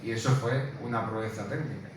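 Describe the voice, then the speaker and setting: Co-presenter, male, mid-range voice, halting informal explanations. Distant mic, strong reverberation. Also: on the low side. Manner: hesitant, searching for words, repeats himself. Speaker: film screening co-presenter. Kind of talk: informal spoken commentary on a film. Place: indoor room, presenting to an audience. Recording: very echoey room, distant mic, faint noise.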